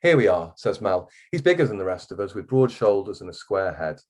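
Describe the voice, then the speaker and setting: Male author, lower-pitched voice, expressive reader of first-person fiction. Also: brisk. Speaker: guest author reading from a novel.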